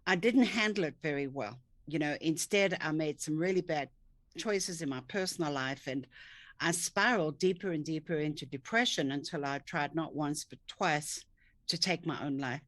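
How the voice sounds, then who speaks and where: A woman's voice: low-pitched, normal speed, a bit bright, no room sound. A woman, a coach telling her story earnestly in an interview over a video call. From South Africa. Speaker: guest, thinking coach. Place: home office, indoors. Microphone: video call webcam.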